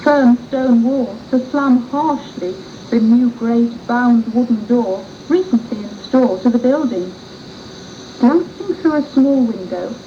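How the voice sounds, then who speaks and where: A woman reading a scripted first-person historical story for radio in a formal, literary manner. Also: on the high side. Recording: muffled 1980s radio tape with hiss.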